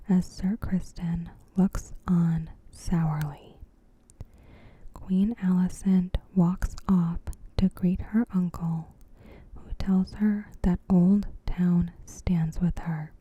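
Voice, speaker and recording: A woman narrating an ASMR recap in a soft, breathy whisper, gentle and measured. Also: lowish voice.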